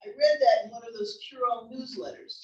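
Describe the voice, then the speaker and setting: A woman's voice: a little high, a little fast, lo-fi sound, lightly acted. A woman reading the part of a cheerful, adventurous older wife in a comedy play. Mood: upbeat, playful. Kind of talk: table read of a comedy play. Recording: roomy, distant library table-read recording.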